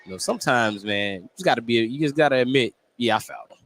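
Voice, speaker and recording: A man gives casual color commentary at a brisk pace, with clear gym noise around him. His phrasing is loose and informal, like chatting with a broadcast partner. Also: medium pitch, animated, a bit bright. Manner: informal, joking, chuckles often. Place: indoor basketball game, courtside broadcast.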